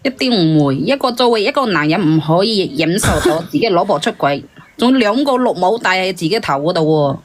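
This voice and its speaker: A woman's voice: fairly low pitch, lively intonation, brisk. A woman commenting casually on a call-in show, blunt and judgmental.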